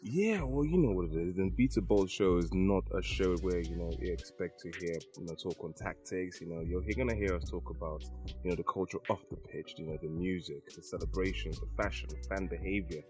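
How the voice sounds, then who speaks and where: A man from Nigeria co-hosting in casual chat, excitable and often repeating his phrases. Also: low-pitched, brisk. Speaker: podcast co-host. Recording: music playing behind the voice.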